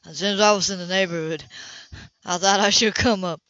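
A woman's voice: medium pitch, steady tone, brisk, fairly bright tone, dry recording, lightly acted. A woman voicing a stubborn, cocky, hot-headed man in a romance audiobook, blunt and insistent. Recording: dull, lo-fi home recording.

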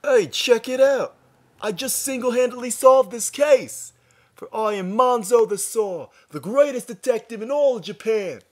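A man's voice: very high-pitched, lively intonation, a little slow, heavily acted. A man voicing a boastful, bumbling detective who bluffs his way along in an anime parody dub. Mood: triumphant.

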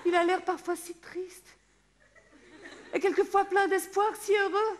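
A woman's voice: extremely high pitch, steady tone, a little slow, slight background noise. A middle-aged woman performing a wistful theatrical monologue on stage, recorded from a distant camera in the hall. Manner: dreamy, wistful, drifting into hushed murmurs. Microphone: far camera in the audience.

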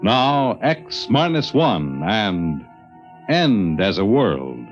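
A man announcing the opening of a 1950s science fiction radio show in a booming, dramatic manner. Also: sing-song, very slow. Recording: dull lo-fi 1950s radio broadcast. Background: loud music.

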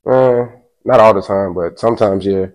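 A man's voice: lowish voice, normal speed, a little mellow. A man of twenty chatting casually on a blind date show, laid-back and hesitant with many fillers. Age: 20s. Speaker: dating show contestant. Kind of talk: casual chat.

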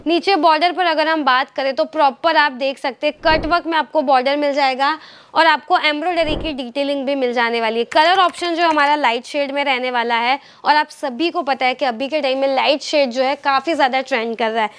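A woman's voice: sits high, brisk, fairly bright tone. A young woman from India presenting clothes for a wholesale outlet in a fluent, upbeat sales patter, recorded indoors in a shop on a lapel mic. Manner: upbeat, fluent, repetitive sales patter mixing in English words. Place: indoor clothing store with stocked shelves.

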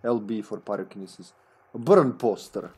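A man's voice: fairly low pitch, moderate pace, a little mellow, slightly rough, soft background music. Chatty guy in his 30s streaming a game, headset mic, keeps laughing. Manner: chatty, laughs often, trails off. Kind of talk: casual gameplay commentary. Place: indoor room at home.

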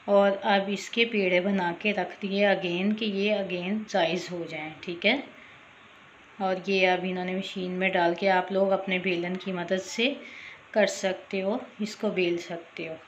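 A woman's voice: mid-range voice, muffled recording, a little hiss or hum. Chatty woman narrating a recipe. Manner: chatty, repeats "theek hai", addresses viewers directly. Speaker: cooking channel host. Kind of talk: casual voice-over cooking tutorial.

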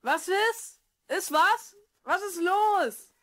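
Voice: extremely high pitch, expressive, very slow, fairly bright tone, no room sound, studio quality, heavily acted. An actor voicing an eager, curious twelve-year-old boy in a German children's audio drama. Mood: sleepy, annoyed. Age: child figure, twelve.

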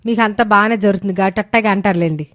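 A woman's voice: medium pitch, racing, no echo, natural voice. A woman playing a devoted, plain-spoken village wife in a moral story, with homely colloquial speech. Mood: complaining. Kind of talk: animated moral-story dialogue.

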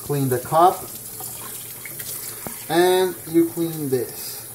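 Man in his thirties, slow relaxed explaining, slightly high voice, echoey kitchen, faint background hum. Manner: chatty, hesitant with fillers and restarts, moving about while working. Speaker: home coffee demonstrator. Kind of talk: casual how-to demonstration. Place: home kitchen indoors at night. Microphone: camera on the counter at a distance.

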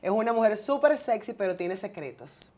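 A woman's voice: mid-range voice, sing-song, a little fast, dark tone. A woman from Venezuela in her thirties, talking as a talk show host and presenter. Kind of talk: presenter talk, promos and casual interviewing.

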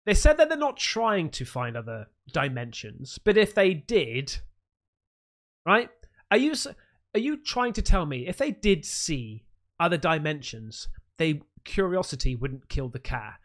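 A man in his thirties with a bright, forward voice talks casually into a close studio mic. His pitch swings widely as he thinks aloud, restarting sentences. He sounds like a host reacting to clips from a small home room. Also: very animated, unhurried. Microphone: close studio mic with headphones.

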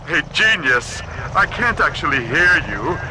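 An actor playing a mocking, gleeful mad scientist villain in a cartoon video game, taunting theatrically. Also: male voice, on the high side, big pitch swings, unhurried, noisy background.

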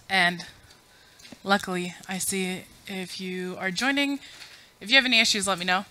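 A woman's voice: medium pitch, fairly even intonation, a little slow, long pauses, bright, forceful tone. A woman in her thirties, originally from Afghanistan, giving a chatty, self-deprecating conference talk to a room full of people and running audience polls. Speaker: conference speaker. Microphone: podium mic.

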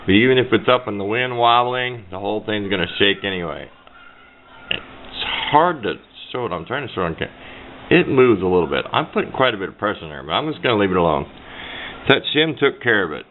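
A man giving casual hands-on commentary at a workbench, talking in a folksy, rambling way. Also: fairly low pitch. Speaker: hobbyist radio video maker. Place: indoor workbench. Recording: tinny, band-limited camera mic with noise.

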